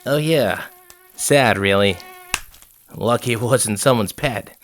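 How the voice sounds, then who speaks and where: A man's voice: fairly low pitch, slow pace, slight background noise. A man playing a nervous field researcher in an audio drama. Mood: uneasy. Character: male field researcher, nervous, panicking when attacked.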